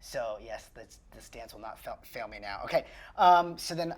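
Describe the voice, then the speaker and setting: A man playing a tabletop roleplaying game, chatting casually and hesitantly thinking his moves out loud. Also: mid-range voice, moderate pace. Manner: animated, hesitant while working things out.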